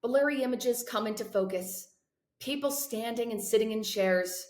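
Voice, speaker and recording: A woman's voice: on the high side, moderate pace, darkish tone. A woman hosting a read-aloud, introducing and narrating a book for students and teachers. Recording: roomy echo.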